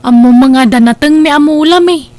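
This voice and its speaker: A woman's voice: high voice, fairly quick, a little mellow, close to an everyday voice. An Ilocano-accented woman playing a disapproving, nagging elder sister from a village in an audio drama. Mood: scolding. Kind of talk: Ilocano radio drama dialogue.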